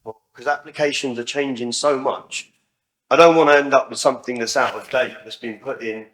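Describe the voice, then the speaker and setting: A man in the audience with a loud voice, asking a chatty question full of fillers. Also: mid-range voice, steady tone, normal speed, rough recording. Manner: chatty, uses many fillers like "like" and "you know". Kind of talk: spontaneous question.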